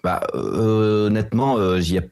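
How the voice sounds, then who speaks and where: A man's voice: fairly low pitch, unhurried, a little mellow, clear, resonant tone, dry recording, clean studio sound. A French man in a band, answering interview questions casually with many hesitations and restarts. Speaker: band member.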